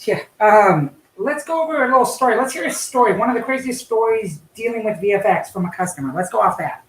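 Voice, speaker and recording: A woman's voice: a little high, animated, quick pace, a little hiss or hum. Chatty woman host in an echoey room. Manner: chatty, loose, lots of fillers. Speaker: livestream host. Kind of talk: casual live-stream hosting. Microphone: in-ear microphone.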